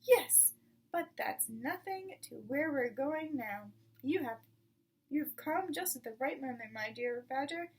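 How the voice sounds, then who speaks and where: A woman's voice: sits high, expressive, measured pace, full character voice. Woman as a confident, crafty storybook father fox. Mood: sly, eager. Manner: jaunty, commanding, reassuring. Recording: distant mic with clear background noise.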